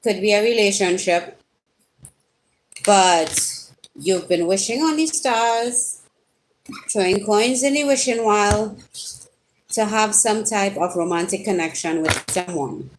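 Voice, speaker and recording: A woman's voice: mid-range voice, slow pace, pauses often, rough recording. A Trinidadian woman hosts a live tarot stream, talking casually to her viewers through a webcam mic. She teases them directly and her voice jumps up in sudden exclamations, with a faint hiss of noise underneath. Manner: chatty, teasing viewers directly, rising exclamations. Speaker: tarot reader.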